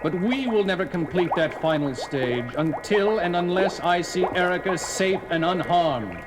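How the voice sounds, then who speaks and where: An actor playing a frantic young baron scientist, overwrought and pleading. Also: male voice, sits high, lively intonation, moderate pace, poor audio. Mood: defiant. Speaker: hero. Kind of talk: 1970s record-album audio drama. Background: dramatic music score.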